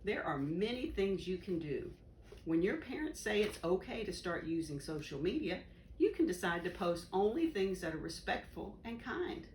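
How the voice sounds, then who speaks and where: A woman reading a children's educational book aloud in a deliberate, instructive way. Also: fairly low pitch, very animated. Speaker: volunteer story reader. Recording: roomy echo, faint background noise.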